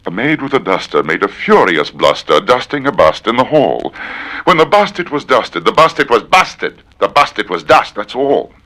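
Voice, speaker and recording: Theatrical man reciting, very animated pitch. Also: moderate pace. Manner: precise, dramatic enunciation. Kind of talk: theatrical tongue-twister recitation.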